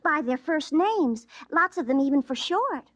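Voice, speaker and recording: An actress playing a prim, indignant young small-town sweetheart in an old-time radio comedy, with a prissy, scolding, wounded manner. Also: female voice, sits high, animated, a little slow, fairly bright tone. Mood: sulky. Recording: muffled old radio recording.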